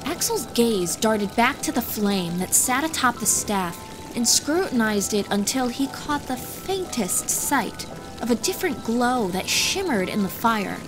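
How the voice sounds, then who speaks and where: A woman's voice: fairly high pitch, very animated, unhurried. A woman reading scripted fantasy story narration as the narrator of an audiobook. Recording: music behind the voice.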